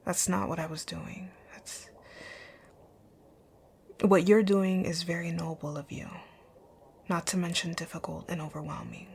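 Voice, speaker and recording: A woman playing a rich, dominant boss in an ASMR roleplay, speaking in a curt, commanding way with a soft, breathy, husky voice. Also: lowish voice, fairly even intonation, unhurried, pauses often, a little hiss or hum. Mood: softening, concerned. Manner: curt, commanding, breathy, often near a whisper. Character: rich, rude, dominant female boss, stern but secretly caring. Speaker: audio roleplay voice actor.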